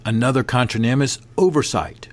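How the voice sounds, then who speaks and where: A man hosting a podcast, reading a passage aloud and adding his own commentary in a measured way. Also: mid-range voice. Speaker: podcast host.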